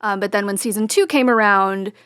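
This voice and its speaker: A woman's voice: mid-range voice, brisk, a bit bright, clean tone, no room sound, studio quality. A woman chatting casually as a guest in a group podcast interview. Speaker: podcast guest, audio drama organizer.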